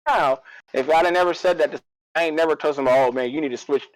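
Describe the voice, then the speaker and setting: A man's voice: a little high, fast talker, no echo, rough recording. A man co-hosting a podcast in casual, opinionated talk, repeating phrases for emphasis. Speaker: podcast co-host.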